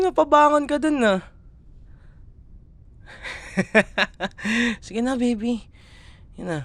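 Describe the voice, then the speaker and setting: A young man with a Filipino accent playing a playful, affectionate but exasperated student boyfriend in an intimate audio roleplay, coaxing and teasing as he talks. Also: on the high side. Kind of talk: ASMR boyfriend roleplay, casual Tagalog banter.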